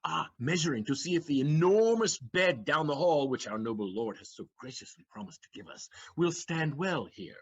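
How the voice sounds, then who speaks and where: An actor plays a quick-witted young servant with a husky, fairly high voice. He talks in a flowery, theatrical way. The sound is dull and lo-fi, like a stage recording. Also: lively intonation. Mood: reasoning. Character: Figaro, witty excitable young manservant, male. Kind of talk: stage audio play acting.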